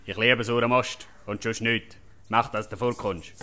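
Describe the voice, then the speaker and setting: A male actor plays a grumpy loner hero in a Swiss German comedy audio play. The voice is fairly low with a bright, forceful edge, and the replies are blunt and dismissive. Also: steady tone, measured pace. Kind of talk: comic audio drama acting.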